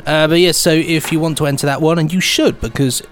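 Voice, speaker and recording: A man's voice: fairly high pitch, fairly even intonation, a little fast, faint music behind. A man co-hosting a podcast, chatting casually and reading out listener emails with affable, slightly hesitant phrasing. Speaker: podcast co-host.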